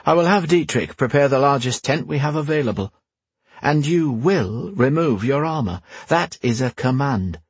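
Storybook warrior queen, played by a male narrator: high, husky, teasing, proud, quick to command. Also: expressive, no room sound. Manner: playful, teasing, regal when commanding.

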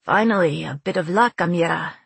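Sardonic young sailor read by a female narrator, storybook character, dry wit, teasing, a little husky. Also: lowish voice, a little fast, no echo, heavily acted. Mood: wry, teasing.

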